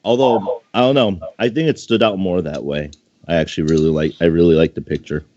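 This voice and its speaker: A man's voice: low voice, fairly even intonation, a little fast, darkish tone. A man judging an online contest as co-host, chatting casually and without a script in a laid-back, joking way. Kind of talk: casual unscripted chat. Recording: dry, muffled lo-fi voice recording.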